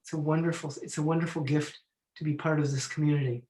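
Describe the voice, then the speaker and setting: A man in his sixties, a Feldenkrais teacher and musician, chatting in an online panel, telling stories and clearing his throat now and then. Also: on the high side, steady tone, fast talker, darkish tone, reverberant. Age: 60s. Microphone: video call.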